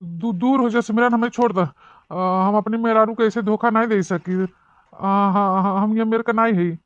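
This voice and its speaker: A man's voice: high voice, steady tone, normal speed, no echo, natural voice. A man voicing a meek, simple married office man in a cartoon comedy, hesitant and stammering, with an Awadhi accent. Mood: nervous, refusing. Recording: muffled, dull, lo-fi sound.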